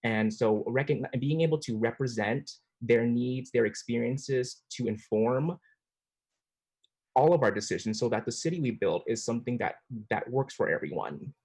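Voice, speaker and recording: A man's voice: medium pitch, normal speed, a little mellow, breathy edge. A young man in his twenties, earnestly answering interview questions over a video call, with frequent restarts. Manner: earnest, many restarts and fillers. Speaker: planning commission applicant. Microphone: video call mic.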